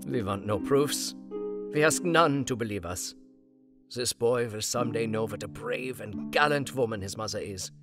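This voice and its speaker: An actor playing a wise, tender older professor in an audio drama, speaking deliberately and earnestly. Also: male voice, medium pitch, over music, lightly acted.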